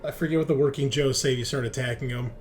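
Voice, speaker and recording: A man runs a tabletop roleplaying game in a medium-pitched voice. He narrates casually and improvises as he goes, slipping in informal asides. Faint music plays behind him. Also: steady tone, rapid-fire. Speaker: game master. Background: background music.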